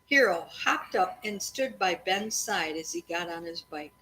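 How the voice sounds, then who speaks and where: A woman's voice: on the low side, expressive, measured pace, sharp tone. Woman reading to kids, noisy recording. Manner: breaks off to address and question children. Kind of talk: read-aloud storytelling with casual chat.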